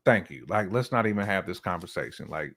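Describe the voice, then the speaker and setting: A man's voice: deep voice, lively intonation, a little fast, fairly bright tone. A man from the United States talking casually on a discussion show, with informal slang and frequent checks for agreement. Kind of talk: casual chat.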